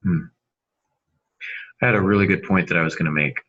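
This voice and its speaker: Deep voiced guy on a podcast, kinda husky, earnest and emphatic, slightly lo-fi mic. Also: fairly quick. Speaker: podcast co-host and novelist. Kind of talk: casual panel discussion on writing craft.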